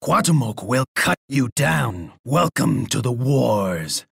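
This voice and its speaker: A bloodthirsty, imperious Aztec emperor from a strategy video game. His voice is heavily gravelled and rough, recorded dry and close. He delivers every line with pompous, theatrical menace, boasting grandly about himself. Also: medium pitch, big pitch swings, slow, fairly bright tone, dry recording, exaggerated voice. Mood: boastful, menacing. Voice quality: gravelly, raspy. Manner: pompous, speaks of himself in third person.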